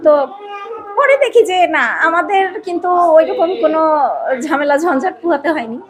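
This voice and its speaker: Give a woman's voice, notably high. She talks in a casual, personal interview style and is expressive, often repeating a phrase for emphasis. Voices of a crowd are clearly audible behind her. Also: fairly quick. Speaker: podcast guest, a mother.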